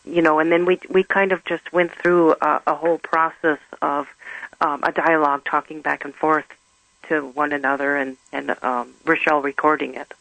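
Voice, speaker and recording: A middle-aged woman whose first language is German, speaking earnestly and haltingly as an interview guest. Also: deep voice, flat delivery, creaky, a bit bright, dry recording, poor audio. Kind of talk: personal testimony in conversation.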